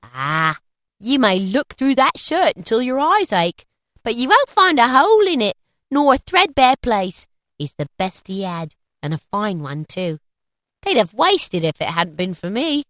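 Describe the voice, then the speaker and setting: A brazen storybook thief, with a forceful, sharp voice that swoops widely. She is a callous, mocking working-class woman who gloats and cackles over her loot in rough speech, recorded very dry. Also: on the high side, big pitch swings, a little slow, no echo, voice pushed up. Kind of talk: storybook character acting.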